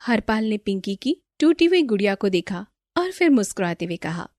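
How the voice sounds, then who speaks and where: A woman's voice: medium pitch, big pitch swings, normal speed, a little mellow, dry recording, studio quality. A woman storyteller reading a scripted children's moral story as narrator.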